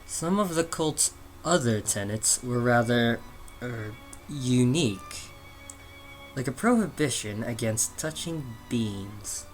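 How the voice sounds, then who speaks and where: A man's voice: medium pitch, a bit bright. A man narrating a comic book dramatically, with theatrical, emphatic phrasing. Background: background music.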